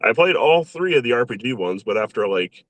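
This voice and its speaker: A young man chatting casually as an interview guest, bashful and quick to laugh at himself. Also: medium pitch, big pitch swings, fairly bright tone, dry recording. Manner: laughs often, bashful and self-deprecating.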